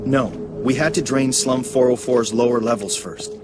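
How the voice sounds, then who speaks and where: An actor playing a purposeful rebel leader in a video game, giving mission briefings in a direct, businesslike way. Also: male voice, mid-range voice, steady tone, moderate pace, close to an everyday voice. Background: prominent music.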